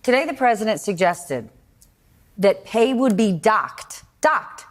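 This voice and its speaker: A woman's voice: medium pitch, sing-song, takes it slowly, slight background noise. A woman anchoring a television news programme and interviewing with direct, pointed questions.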